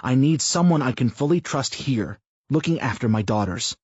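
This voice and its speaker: A man's voice: mid-range voice, expressive, moderate pace, darkish tone, slightly rough, no room sound, highs cut off, voice pushed up. A man playing a weary, earnest father. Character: troubled, earnest middle-aged father.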